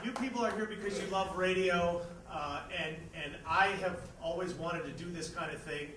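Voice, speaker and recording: A high-voiced American man speaks informally to a crowd, halting and repeating his words. He sounds distant in a roomy venue, with clear noise around him. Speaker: theater project organizer and host. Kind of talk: informal thank-you speech to a live audience. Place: indoor venue before a live audience. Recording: echoey venue, distant mic, noisy audience.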